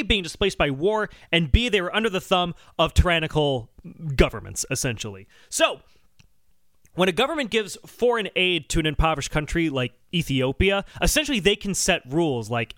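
A man's voice: fairly high pitch, fairly bright tone, no room sound, studio quality. A man hosting a casual podcast, explaining things in an emphatic, sardonic way and swearing freely. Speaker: podcast host.

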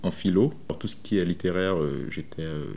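Low-voiced, mellow man from Benin. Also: vocal fry. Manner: hesitant, halting delivery with frequent repetitions. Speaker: software developer interviewed about his career. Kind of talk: informal first-person career account.

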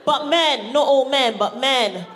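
Woman from barbados doing standup, high bouncy voice, punchy, live stage mic with noise behind. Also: slow pace, some chatter behind. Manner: punchy, playful, shouts punchlines. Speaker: stand-up comedian. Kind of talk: live stand-up comedy set. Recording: live stage recording with audible background noise.

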